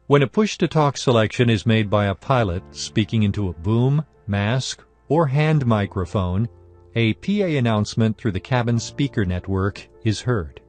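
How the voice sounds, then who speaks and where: A man's voice: lowish voice, lively intonation, darkish tone. Formal male training narrator over music. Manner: formal, measured, instructional. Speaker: instructor narrator. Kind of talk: scripted technical training narration.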